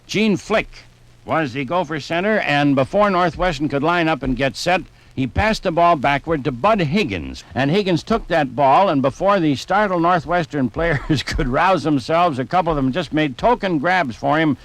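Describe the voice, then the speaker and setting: A man playing a jovial sportsman in a 1950s radio drama, reminiscing in a conversational, animated way. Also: on the high side, normal speed, dry recording, low-quality recording. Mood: amused storytelling. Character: jovial sportsman reminiscing about football on a fishing trip.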